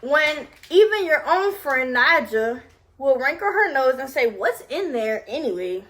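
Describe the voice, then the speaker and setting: A woman's voice: high-pitched, sing-song, a little slow, a bit bright. A woman reading a picture book aloud to her toddler, with casual asides to the child as she goes.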